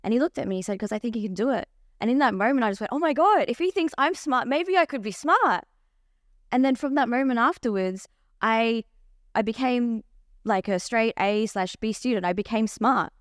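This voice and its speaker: A woman's voice: on the high side, fairly quick, dry recording, studio quality. A young woman, a coach telling her story openly in a podcast interview. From Australia. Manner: open, animated storytelling. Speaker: life coach guest.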